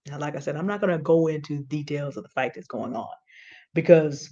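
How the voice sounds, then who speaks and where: A woman's voice: low voice, brisk, warm tone. A woman co-hosting an online talk, giving informal commentary straight to viewers, emphatic and confiding, with repeated words for effect. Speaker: online show co-host. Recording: dull, lo-fi audio.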